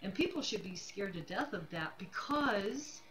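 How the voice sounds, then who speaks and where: A woman's voice: mid-range voice, animated, a little slow, a bit bright, a little hiss or hum. A woman of fifty-nine talking casually and candidly to her livestream audience, repeating phrases for emphasis. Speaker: livestream host, self-employed realtor. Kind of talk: casual personal talk to camera.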